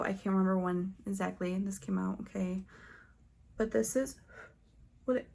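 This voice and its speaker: A woman's voice: mid-range voice, darkish tone. A woman beauty vlogger talking casually and chattily through products, with conversational trailing asides. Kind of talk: casual product show-and-tell.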